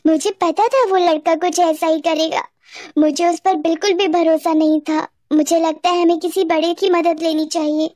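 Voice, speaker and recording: Woman as cautious, high-voiced schoolgirl best friend. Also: steady tone, moderate pace, a little mellow, no room sound, studio quality. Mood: concerned. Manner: earnest, admonishing.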